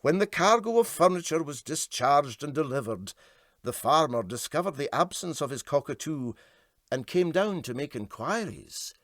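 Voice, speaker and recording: A man's voice: on the high side, very animated, moderate pace, fairly bright tone, no room sound, clean studio sound. A man with a Scottish accent reading a comic story aloud as narrator.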